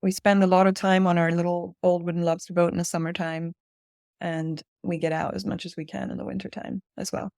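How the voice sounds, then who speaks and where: Woman, forties, from Spain, lowish voice, conversational interview guest, dry sound. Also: level tone, fairly quick, creaky, a little mellow, no echo. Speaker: interview guest, director at a design-build firm.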